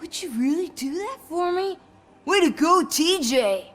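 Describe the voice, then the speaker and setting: A woman's voice: very high voice, expressive, a little slow. An actress playing a giddy young woman in a video game, starstruck and gushing, who drops into whispered asides. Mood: conspiratorial.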